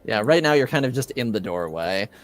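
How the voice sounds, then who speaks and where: A man narrating casually as game master of a tabletop game, halting and conversational. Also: fairly low pitch, expressive. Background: music.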